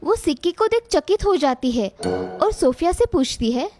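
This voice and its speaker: A woman's voice: very high-pitched, expressive, normal speed, a little mellow, faint music behind. A woman narrator reading a scripted moral story for children.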